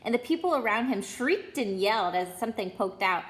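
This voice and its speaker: A woman storyteller reading a children's book aloud in an expressive style. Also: mid-range voice, a little fast.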